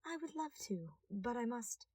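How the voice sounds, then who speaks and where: A woman's voice: a little high, very animated, normal speed, darkish tone, slightly rough, no room sound, far from the mic, pitched above the performer's own voice. A woman voicing a suave, theatrical male thief, flirtatious and smug, dropping in French phrases, in a story. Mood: intrigued.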